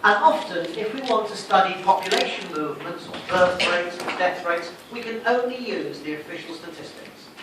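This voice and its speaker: A man with a high voice delivers a revision lecture in a didactic style, often putting a question and then answering it himself. He is recorded from a distance in a very reverberant lecture room, with clear background noise. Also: lively intonation, normal speed, few pauses. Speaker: sociology lecturer. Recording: echoey room, distant mic, noisy.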